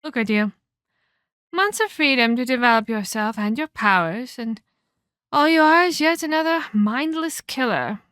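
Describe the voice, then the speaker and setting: A woman playing a condescending, sinister female vampire captor in an ASMR audio roleplay, speaking in a deliberate, theatrical, taunting way. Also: on the high side, expressive, fairly bright tone, clean tone, no echo, studio quality. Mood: cold disappointment.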